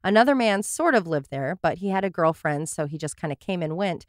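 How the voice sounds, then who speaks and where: A woman's voice: fairly low pitch, lively intonation, a little fast, no echo, studio quality. A woman hosting a true crime podcast, reading her case notes with wry, sarcastic asides. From Portland area, USA.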